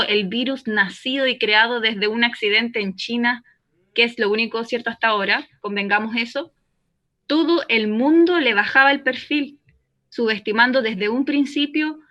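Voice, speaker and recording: An earnest, defensive woman journalist in a radio drama, speaking by remote link. Her voice is fairly high and sharp, argued firmly through slightly muffled sound. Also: normal speed. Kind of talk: radio play as live call-in interview. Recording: dull, band-limited remote link.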